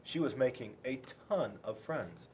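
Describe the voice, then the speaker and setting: Man, children's read-aloud, playful, lively swooping intonation, rhetorical questions, faint background noise. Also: mid-range voice, a little slow. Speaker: school resource officer reading to children. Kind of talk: animated picture book read-aloud, talking to children.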